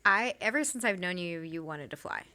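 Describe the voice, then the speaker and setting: A woman with a bright voice hosting a casual interview. She is chatty and friendly and laughs often as she reminisces with her guest. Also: fairly low pitch, expressive, brisk. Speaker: podcast host.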